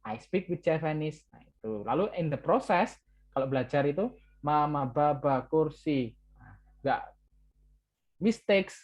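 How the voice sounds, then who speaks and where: A man's voice: a little high, lively intonation, normal speed, long pauses. A man from Java with an Indonesian accent and Javanese as his first language, lecturing an English class and switching between English and Indonesian, repeating key words with "ya".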